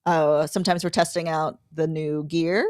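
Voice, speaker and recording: A woman's voice: sits low, level tone, moderate pace, darkish tone, no room sound, clean studio sound. A woman content creator chatting informally and conversationally as a livestream guest. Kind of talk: casual livestream chat.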